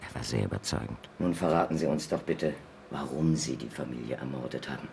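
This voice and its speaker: An actor playing a cold, methodical official of a computer justice system in a German radio drama, speaking in a measured, insistent way. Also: male voice, extremely low pitch, gravelly, a little mellow, slight background noise. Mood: cold, pressing.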